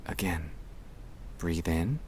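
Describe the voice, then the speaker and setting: Very slow, soft low-voiced man, ASMR. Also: animated, a little hiss or hum. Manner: soft-spoken, soothing, often dropping into whispers. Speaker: ASMR creator guiding breathing exercises. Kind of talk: gentle ASMR sleep-aid guided breathing.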